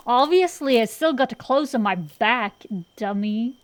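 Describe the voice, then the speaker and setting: A woman's voice: on the high side, expressive. A woman playing a cocky, defensive tomboy dragon-girl sorceress in a comedic ASMR roleplay, blustering and bantering through her excuses. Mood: indignant, blustering. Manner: blustering, bantering, hesitant excuses full of drawn-out pauses. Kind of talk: ASMR roleplay, one-sided comedic dialogue.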